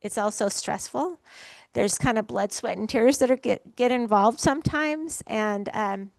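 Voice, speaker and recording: Higher-voiced woman moderating a real estate panel. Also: unhurried, creaky, darkish tone, dry recording. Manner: practical, explanatory, direct. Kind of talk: seminar panel talk.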